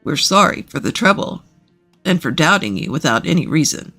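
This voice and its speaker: A woman's voice: low voice, animated, moderate pace, sharp tone, faint music behind, pitched below the performer's own voice, heavily acted. A woman voicing a grave, formal older father in a narrated family story. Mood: apologetic, grave.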